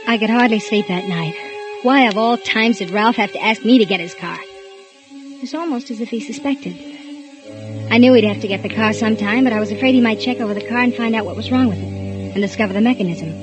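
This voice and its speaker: An actress playing a nervous, guilt-ridden housewife, narrating in 1940s radio drama style with a tense, fearful delivery. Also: female voice, a little high, fairly quick, dull audio, lightly acted. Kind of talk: 1940s radio acting with first-person narration. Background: music underscore.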